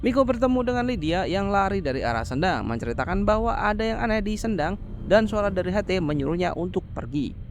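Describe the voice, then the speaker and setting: A man's voice: high voice, lively intonation, fast, soft background music. A man narrating a horror film plot as a scripted story recap, explaining as he goes in informal wording.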